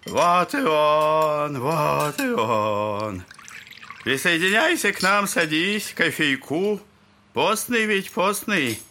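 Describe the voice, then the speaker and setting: A man's voice: a little high, sing-song, slow pace, pushed and bright, heavily acted. An actor playing a tipsy, suspicious old father in a Russian audio drama, repeating himself as he wheedles and frets. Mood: wheedling, tipsy. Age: older. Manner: repeats himself, swings between wheedling and shrieking.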